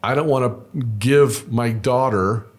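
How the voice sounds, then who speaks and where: A middle-aged man talks through insurance options in a patient, methodical way, leaning on simple examples. His voice sits in an ordinary middle pitch. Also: unhurried. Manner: patient, explains step by step with examples. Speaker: insurance broker co-host. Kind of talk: explanatory conversational chat.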